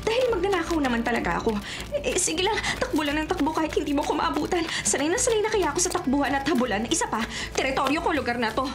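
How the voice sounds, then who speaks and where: A fast, high-voiced cheeky young thief. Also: female voice, big pitch swings. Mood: cheeky, urgent. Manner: breezy, slangy, self-mocking. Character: cheeky, streetwise female thief in her twenties. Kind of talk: radio drama acting, inner monologue. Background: loud dramatic music.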